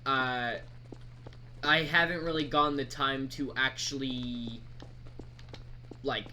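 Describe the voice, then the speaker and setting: A man giving a casual screen-recorded software tutorial, talking in a halting, rambling, informal way. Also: medium pitch, fairly even intonation. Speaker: mod creator presenting tutorial. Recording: background noise, keyboard typing.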